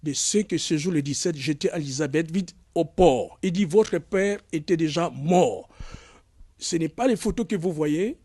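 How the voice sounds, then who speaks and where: A man with a fairly high voice delivers an impassioned public statement, pressing each point with growing force. The sound is close and dry, with no room around it. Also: moderate pace, warm tone, dry recording. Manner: emphatic, rising to anger. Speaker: speaker in played video clip.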